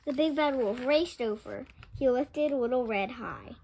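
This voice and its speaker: A woman reading a children's picture book aloud with expressive, dramatic storytelling. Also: high voice, a little slow, poor audio. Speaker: storytime reader.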